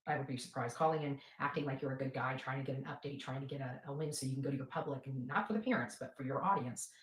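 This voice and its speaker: A woman's voice: rumbling low, fast, a little hiss or hum. A woman hosting a casual livestream for followers, rambling and repeating herself for emphasis. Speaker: livestream host and advocate. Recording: roomy, echoing livestream replayed.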